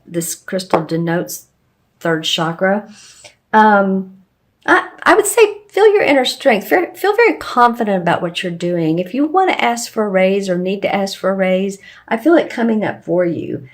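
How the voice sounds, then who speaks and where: Slightly hoarse woman reading tarot. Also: mid-range voice. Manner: meandering, with frequent fillers and restarts. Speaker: tarot reader. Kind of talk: casual spoken tarot reading to camera.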